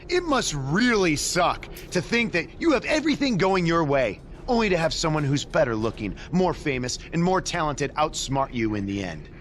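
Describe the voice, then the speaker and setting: Smug male wrestling villain, animated voice. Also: a little high, normal speed, hardly any pauses, slight background noise. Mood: gloating. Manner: smug, taunting. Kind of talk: video game cutscene acting.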